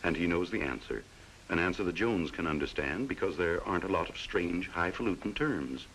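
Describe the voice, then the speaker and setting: A man's voice: low-pitched, expressive, fairly quick, fairly bright tone, slight background noise, poor audio. A man narrating a 1940s training film in a folksy, dramatic storytelling way on an old film soundtrack.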